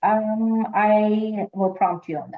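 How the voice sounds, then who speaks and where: A woman's voice: mid-range voice, slow pace. A woman hosting a quiz, reading questions aloud and moderating informally. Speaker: quiz host. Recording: dull lo-fi online call audio.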